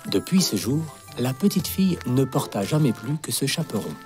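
A man narrating a scripted fairy tale for children in an expressive storytelling manner. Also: mid-range voice, moderate pace. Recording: music playing behind. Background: background music.

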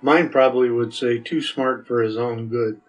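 A man's voice: medium pitch, fairly even intonation, normal speed, faint music behind. An older man giving brief, plain-spoken interview answers, recorded on a dull and slightly distant microphone. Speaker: recurring podcast guest answering questions.

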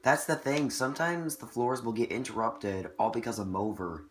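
A man's voice: medium pitch, moderate pace, slight background noise. A man with a cold, losing his voice, chatting casually as he plays and comments on a video game. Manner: chatty, self-correcting, reacting to the game. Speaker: let's play host. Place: indoor room with TV, filmed on camera. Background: game music.

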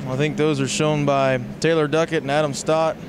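An American man calls a livestock show in a measured, matter-of-fact voice. His pitch sits a little high and moves very little. Clear background noise of a big indoor arena surrounds him. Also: flat delivery. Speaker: livestock show commentator and host. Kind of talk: live show commentary. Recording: noisy livestock arena ambience.

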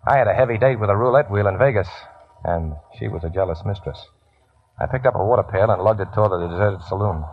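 A man's voice: lowish voice, fast talker, breathy edge. An actor playing a cocky, wisecracking gambler who narrates his tale in hardboiled 1950s radio drama style. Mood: wry, uneasy. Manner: wry, flippant. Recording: muffled, noisy old radio recording.

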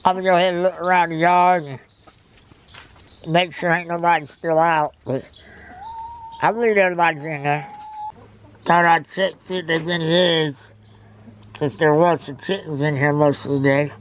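Easygoing man, fairly high voice. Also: steady tone, moderate pace, pauses often, slight background noise. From United States. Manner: easygoing, folksy, talks while working. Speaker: homesteader vlogger. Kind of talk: casual chat while doing chores. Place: outdoor backyard chicken coop. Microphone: camera on wheelchair and handheld selfie. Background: faint birds and outdoor ambience.

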